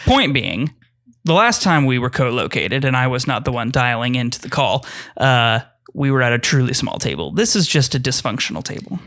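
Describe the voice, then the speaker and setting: Male co-host, crisp bright timbre, mid-range, informal back-and-forth chat. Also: medium pitch, very animated, normal speed. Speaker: podcast co-host. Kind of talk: casual bantering podcast chat.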